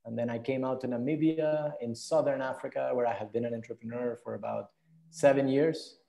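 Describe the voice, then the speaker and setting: Male podcast guest, thirties, from Venezuela; relaxed gravelly voice, thoughtful conversational answers. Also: medium pitch, a little fast. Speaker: interview guest, entrepreneur. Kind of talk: reflective conversational interview answers. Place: indoor room, video call.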